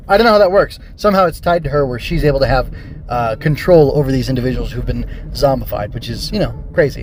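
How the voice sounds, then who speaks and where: A man's voice: mid-range voice, darkish tone, slight background noise. A man hosting a casual solo review podcast, rambling and thinking aloud as he works through his notes. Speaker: podcast host reviewing an audio drama.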